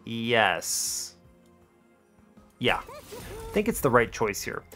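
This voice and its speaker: Male gaming host, mid-pitched, reasoning through each move deliberately, with music behind him. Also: very animated, bright, forceful tone. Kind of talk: strategy game commentary, thinking aloud.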